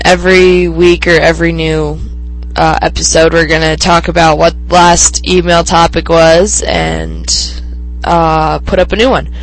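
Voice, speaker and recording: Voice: mid-range voice, a bit bright, poor audio. Teen podcast host with a stuffy cold. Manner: rambling, many ums and restarts. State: congested with a cold, sniffling. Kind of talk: casual solo podcast chat.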